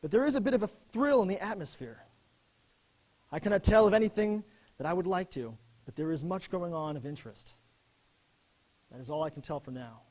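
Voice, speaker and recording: An actor playing an earnest young American lieutenant in a dramatic, expressive reading of his letters home from war. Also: high voice, a little fast, pauses often. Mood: hushed anticipation.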